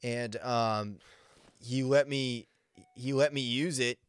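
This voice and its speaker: A man chatting casually and informally, in a halting, hesitant way. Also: mid-range voice, steady tone, clean tone, no echo. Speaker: prank caller and podcast guest. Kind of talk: casual, hesitant phone chat.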